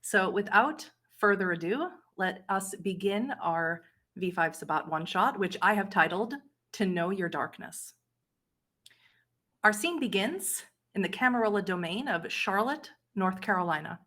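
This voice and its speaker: A woman's voice: mid-range voice, lively intonation, a little slow, sharp tone. A woman hosting and narrating a tabletop roleplay game as its storyteller.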